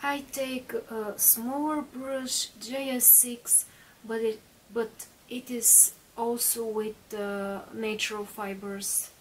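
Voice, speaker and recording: A woman's voice: fairly high pitch, steady tone, slow, far from the mic, a little hiss or hum, poor audio. A woman in her thirties, a native Bulgarian speaker, chatting through a makeup tutorial in a small bedroom with lots of fillers and restarts. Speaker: beauty vlogger.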